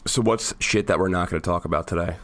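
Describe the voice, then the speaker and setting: A deep-voiced man, hoarse and raspy because he has the flu, co-hosting a casual podcast chat. He rambles and often restarts his sentences. The sound is dry and close, with no room around it. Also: quick pace, fairly bright tone, no echo. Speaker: podcast co-host.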